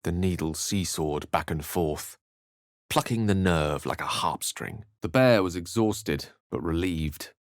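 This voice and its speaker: A man's voice: deep voice, measured pace, no echo, studio quality. A man voicing an eloquent, bookish, theatrical wizard in a video game, speaking with refined and wry wording. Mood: solemn. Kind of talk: video game character dialogue and narration.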